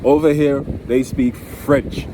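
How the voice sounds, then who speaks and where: A man's voice: medium pitch, little pitch movement, takes it slowly. A man with a Jamaican accent giving an earnest, emphatic, informal motivational talk outdoors, repeating key phrases. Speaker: motivational vlogger. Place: outdoors near home by the water. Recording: very noisy outdoors, wind on the microphone.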